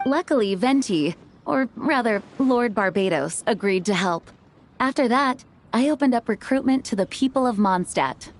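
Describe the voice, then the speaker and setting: A woman's voice: fairly high pitch, expressive, moderate pace, a little hiss or hum. An actress playing a composed, gracious young female knight leader in a video game, formal and poised.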